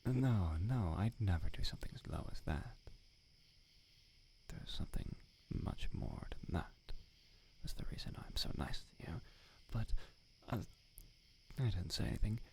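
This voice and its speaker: A man playing a prickly, secretly smitten swordsmith in a soft, half-whispered ASMR roleplay, halting and teasing as he talks. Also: fairly low pitch, expressive, slight background noise. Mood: teasing, flustered. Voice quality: breathy, gravelly, often half-whispered. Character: tsundere male swordsmith, prickly yet flustered, secretly smitten.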